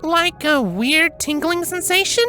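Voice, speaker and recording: A woman plays a young winged pony mare in a storybook drama. Her voice is extremely high and swoops with feeling. She is anxious, yet earnest and soothing, over a music bed. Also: lively intonation, a little slow, sharp tone, heavily acted. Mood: uneasy.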